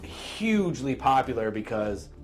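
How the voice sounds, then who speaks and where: A man's voice: mid-range voice, big pitch swings, a little slow, soft background music. A middle-aged American man co-hosting a podcast in a casual, conversational style. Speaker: podcast co-host. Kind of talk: casual chat.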